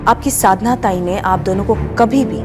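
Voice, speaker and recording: A woman's voice: mid-range voice, normal speed, over loud music, lightly acted. An actress playing a firm policewoman in a TV crime drama, with a direct, questioning manner.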